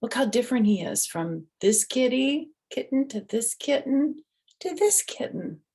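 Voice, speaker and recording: An older woman reading a picture book aloud to children, gently explaining with chatty asides and questions to the listener. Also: a little high, expressive, a little slow, slightly rough. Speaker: storyteller and host.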